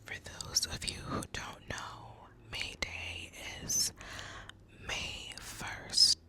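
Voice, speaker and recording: Young woman, Spanish first language, very slow intimate ASMR whisper, crisp bright breath. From United States (Boston). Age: young adult. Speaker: ASMR creator. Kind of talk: whispered ASMR personal storytelling.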